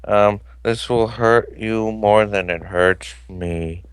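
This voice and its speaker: Male reader doing a smug stiff cartoon cat, booming from off-mic, slow and low. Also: fairly even intonation, clear, resonant tone, no room sound. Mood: solemn.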